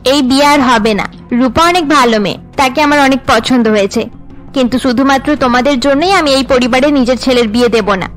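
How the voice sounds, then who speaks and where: Woman acting a high-voiced, firm cartoon matron. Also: fairly quick, soft background music, lightly acted. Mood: firm, reproving. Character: firm, principled middle-aged mother of a groom.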